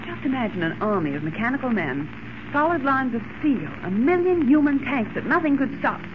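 Behind clear noise on a dull old radio recording, a young newswoman. She is brisk and curious, with an animated voice in vintage drama style. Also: high voice. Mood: curious, uneasy. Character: brisk, curious young woman newspaper reporter. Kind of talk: 1940s radio drama acting.

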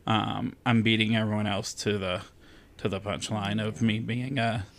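A man's voice: lowish voice, level tone, moderate pace, vocal fry, fairly bright tone, a little hiss or hum. A man from the American South, a podcast guest talking candidly and with self-deprecating humor, using many fillers. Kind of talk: casual interview chat.

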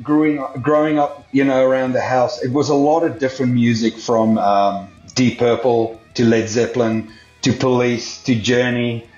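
A man from South Africa talks easily about his life as a drummer, loose and full of fillers. His voice comes through a video call with a roomy echo and a dull, muffled sound. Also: mid-range voice. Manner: relaxed, rambling, many fillers. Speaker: guest drummer. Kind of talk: casual interview chat.

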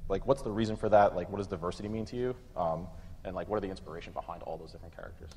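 A man in his thirties presenting and moderating a conference panel in an informal, halting way. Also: low voice, fairly even intonation, a little mellow. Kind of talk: conference presentation, semi-casual. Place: conference room stage with audience. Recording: echoey hall PA, clear background noise.

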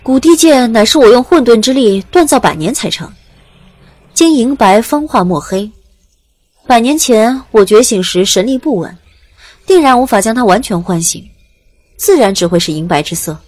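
A woman playing a calm, authoritative ancient god in an audio drama. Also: a little high, moderate pace, no echo. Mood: composed, probing.

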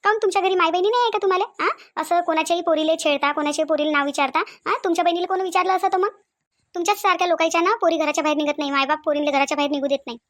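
Fast, high-pitched feisty young Varhadi woman played by an actress. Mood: angry, scolding. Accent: Varhadi Marathi from Vidarbha. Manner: sharp, scolding, rapid-fire. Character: feisty, fearless young woman scolding street harassers. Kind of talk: cartoon comedy dialogue.

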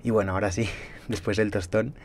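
A young man from Spain with a Barcelona Spanish accent, telling his travel diary in a calm, thoughtful and personal way. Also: fairly low pitch, brisk, a little hiss or hum. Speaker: travel vlogger. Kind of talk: reflective travel diary narration. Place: small bedroom in a hostel.

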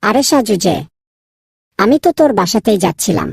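A woman voicing an excitable, friendly young boy in a children's cartoon, with a husky, creaky voice. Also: mid-range voice, brisk, loud music behind the voice. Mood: chatty.

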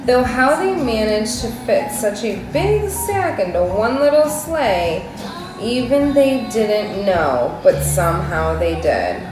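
A woman's voice: fairly high pitch, lively intonation, slow pace. A woman in her thirties, a mother reading a children's story expressively in a living room. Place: living room sofa, indoors. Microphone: camera a few feet away. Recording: echoey room, music over it.

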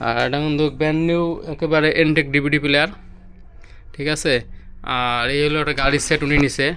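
Fast-talking Bangladeshi man, clear voice. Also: fairly high pitch, flat delivery, bright, forceful tone. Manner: repetitive, showing things to viewers. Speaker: electronics seller demonstrating products. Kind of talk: casual product demonstration.

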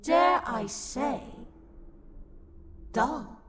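A woman's voice: high voice, lively intonation, drawn-out words, noisy background, exaggerated voice. A woman playing an angry, haughty, sassy luck demon in a roleplay audio drama, scornful, theatrical and exasperated.

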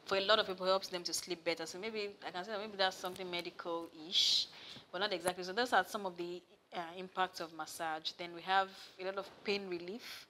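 A young woman with a Nigerian accent, talking in an interview on a studio set. Her voice sits low but sounds bright and forward. She tells her stories with animated bursts, and there is a faint hiss in the background. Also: fairly quick. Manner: animated storyteller, rises loud and high when excited. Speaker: massage therapist, guest. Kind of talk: casual interview chat. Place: studio set with sofa, indoors.

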